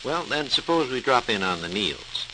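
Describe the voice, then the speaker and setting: A man's voice: mid-range voice, sing-song, moderate pace. A man presenting a science program as a research engineer, in scripted 1940s radio narration. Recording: hissy, crackling 1940s radio recording.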